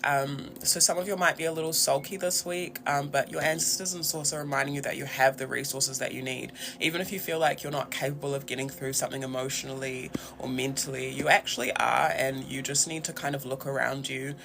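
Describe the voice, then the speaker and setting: Creaky, bright-voiced New Zealand tarot reader, male. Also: medium pitch, fairly even intonation, brisk, few pauses. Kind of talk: casual, conversational tarot reading.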